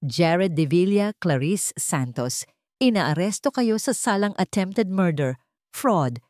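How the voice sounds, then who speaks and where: A woman's voice: fairly low pitch, lively intonation, slow pace, a little mellow, no room sound, clean studio sound. A woman with a Filipino accent voicing a stern, authoritative male police officer in an audiobook drama, speaking formally. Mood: stern, official.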